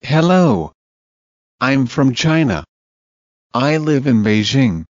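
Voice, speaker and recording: Man, listening test reader, unhurried, careful enunciation, dry lo-fi recording. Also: mid-range voice, sing-song, dry recording. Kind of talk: scripted language-test reading.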